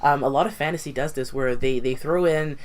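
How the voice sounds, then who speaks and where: A woman's voice: very deep voice, a little fast. A woman whose first language is not English, thoughtfully discussing a novel on a book podcast panel, with many restarts.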